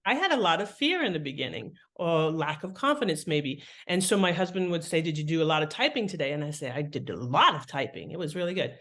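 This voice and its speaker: A middle-aged American woman speaking as an interview guest, conversational and reflective, often pausing to rephrase as she explains. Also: sits low, sing-song, a bit bright. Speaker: podcast guest, author and editor.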